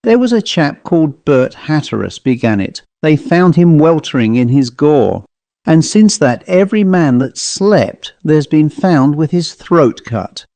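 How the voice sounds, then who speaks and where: A man's voice: a little high, very animated, measured pace, warm tone, no room sound. A man voicing a curt, superstitious veteran salesman in spectacles who speaks ominously in an audiobook ghost story.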